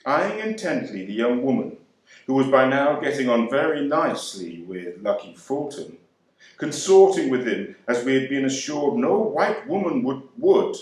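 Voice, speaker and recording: An actor playing a proud, wry young man serving in the air force, speaking with measured delivery and deliberate pauses. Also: mid-range voice, very animated, darkish tone, lightly acted. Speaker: actor reading a monologue. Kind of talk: dramatic monologue reading. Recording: very echoey room.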